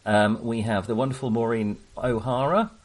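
A man hosting a podcast, talking casually about films in a jokey, wry manner with a husky voice. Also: medium pitch, fairly even intonation, normal speed. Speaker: podcast host. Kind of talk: casual film discussion.

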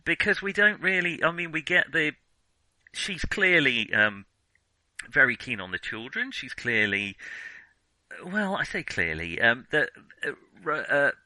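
A man co-hosting a film podcast, thinking aloud in a halting, digressive way as he casually reviews what he has watched. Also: fairly high pitch, very animated, bright, forceful tone, no room sound, poor audio.

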